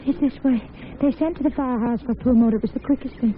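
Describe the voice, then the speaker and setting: A woman's voice: a little high, fast talker, lightly acted. An actress playing a solemn, protective neighbor woman in an old radio drama, on a tinny, noisy recording. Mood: grave, urgent. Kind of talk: 1950s radio drama acting.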